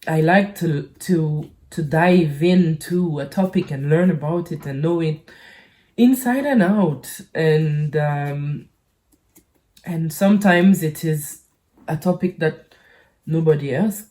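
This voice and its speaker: A woman from the hosts a casual personal vlog in a low, soft-edged, relaxed voice. She takes her time, speaking slowly and haltingly, often pausing and circling back over her words. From French Caribbean island. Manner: hesitant, halting, rambling with frequent pauses and repeats. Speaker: YouTube channel host.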